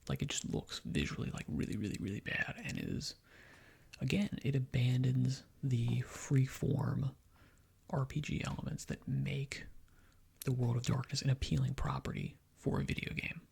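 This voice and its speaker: A man giving soft-spoken ASMR gaming commentary in a breathy half-whisper, rambling and opinionated in a conversational way. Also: mid-range voice, moderate pace. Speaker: gaming video host.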